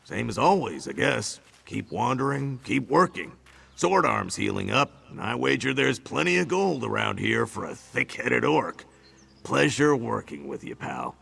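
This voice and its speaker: An actor voicing a gruff male orc mercenary in a video game, talking in a rough, wry, offhand way. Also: mid-range voice, big pitch swings, unhurried, pushed and bright, exaggerated voice. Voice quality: gravelly. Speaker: game character.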